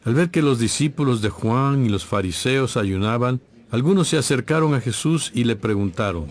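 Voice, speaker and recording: A man giving scripted, measured and solemn narration for a dramatized audio Bible. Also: mid-range voice, expressive.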